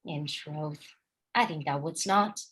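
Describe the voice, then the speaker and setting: A woman playing a gentle, melancholy noblewoman and obedient wife in a Shakespearean radio play, with a wistful manner. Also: deep voice, slow, breathy edge, heavily acted. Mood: troubled, innocent.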